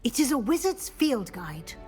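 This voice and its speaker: A woman's voice: fairly high pitch, very animated, normal speed, a little mellow, soft background music. A woman playing a formal, authoritative witch professor in a video game, speaking precisely and instructively. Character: brisk, authoritative female witch professor, middle-aged or older. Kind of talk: scripted video game dialogue.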